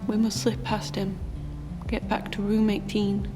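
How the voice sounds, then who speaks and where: A woman playing an enigmatic, protective time-traveller in an audio drama, measured, formal and wry. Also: mid-range voice, completely flat delivery, music in the background, natural voice. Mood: hushed urgency.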